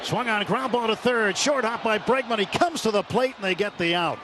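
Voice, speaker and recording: A man's voice: sits high, moderate pace. A man with an American accent calling a baseball game live as a radio play-by-play announcer. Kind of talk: live sports commentary. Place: broadcast booth at a baseball stadium. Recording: noisy live radio ballpark broadcast.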